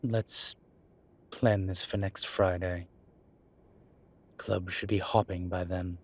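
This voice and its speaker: A man playing a proud, sardonic incubus demon professor in a roleplay audio drama, with a halting, deliberate delivery. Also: fairly even intonation, exaggerated voice. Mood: sly, eager. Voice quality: low, husky voice. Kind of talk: roleplay audio drama, speaking to an unseen listener.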